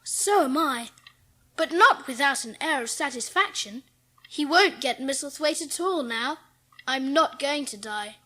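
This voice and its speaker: A woman's voice: high voice, expressive, a little slow, bright, forceful tone, dry recording, exaggerated voice, rough recording. A woman playing an imperious, determined young invalid boy in a dramatised audiobook, speaking in earnest declarations. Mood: haughty, defiant.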